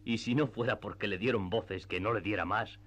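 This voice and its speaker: A man's voice: on the low side, steady tone, fairly quick, a bit bright, highs cut off. A man narrating a classic tale as the storyteller of a radio play.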